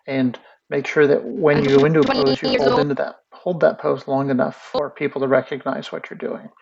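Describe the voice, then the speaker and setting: A man teaching animation in a casual screen-share tutorial, thinking aloud in a relaxed way. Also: mid-range voice, creaky. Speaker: animation instructor. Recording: muffled lo-fi audio with faint music.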